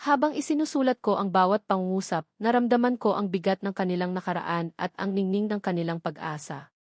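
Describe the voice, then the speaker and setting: A woman narrator with a Filipino accent delivers a scripted dramatic story. Her voice sits at a medium pitch, and the recording is dry, with hardly any room sound. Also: fairly quick, dry recording.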